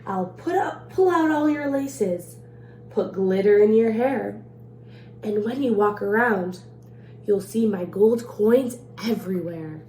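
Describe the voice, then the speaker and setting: A woman plays a cocky, mischievous little leprechaun from a rhyming storybook. The voice is mellow and teasing, with a sing-song lilt, in an echoey room with faint noise. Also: medium pitch, lively intonation, takes it slowly. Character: boastful, mischievous two-hundred-year-old male leprechaun trickster. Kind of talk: picture-book read-aloud in rhyme. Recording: roomy echo, faint background noise.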